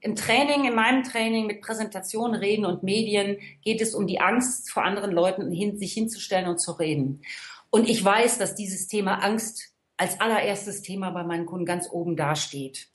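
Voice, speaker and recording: A woman's voice: medium pitch, normal speed. A woman from the Rhineland, a trainer and coach, explaining her views emphatically and checking in with the listener. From Rhineland, Germany. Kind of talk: conversational expert talk. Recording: echoey room.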